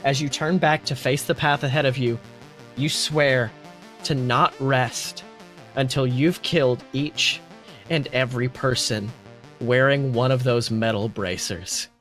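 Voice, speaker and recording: A man's voice: medium pitch, fairly even intonation, a little slow, fairly bright tone, faint music behind, low-quality recording. A man hosting a podcast, telling improvised fantasy stories as game master and chatting. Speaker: podcast host. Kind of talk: improvised second-person fantasy storytelling and podcast chat.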